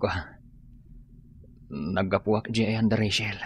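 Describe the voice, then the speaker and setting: A remorseful young man with a low, earnest voice. He pleads like a heartbroken lover in an Ilocano radio drama, over faint background noise. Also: takes it slowly. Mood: dejected.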